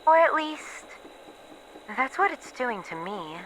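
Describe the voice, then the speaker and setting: A woman playing a weary, haunted researcher who is losing her memories, in a halting, introspective horror audio roleplay monologue. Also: sits high, big pitch swings, background noise, full character voice. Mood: pensive, unsettled. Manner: halting, introspective, pausing between short phrases.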